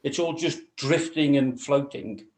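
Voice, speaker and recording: Guy giving blunt casual feedback on a panel, mid pitched voice, pretty echoey room. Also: measured pace, a little mellow. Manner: blunt, colloquial. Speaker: script reading panellist.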